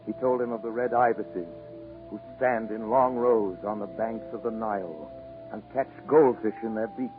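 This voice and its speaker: A man giving scripted storybook narration in measured phrases, in the style of 1940s radio drama. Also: lowish voice, steady tone, narrow, tinny audio, lightly acted. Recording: 1940s radio broadcast over music.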